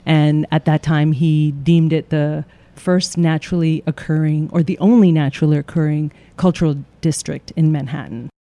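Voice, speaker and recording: A man answering interview questions about his theater company in a measured way, with frequent fillers. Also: fairly high pitch, steady tone, dark tone.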